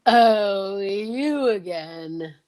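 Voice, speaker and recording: A woman's voice: medium pitch, expressive, drawn-out words, darkish tone. A woman playing a prim, huffy male department store floor walker, fussy and formal, in an old-time radio parody.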